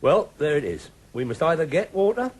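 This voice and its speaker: An actor playing a bossy, conspiratorial father, brisk and commanding. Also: a little high, sing-song, a little mellow, breathy edge. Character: bossy, conspiratorial father leading a neighbourhood plot.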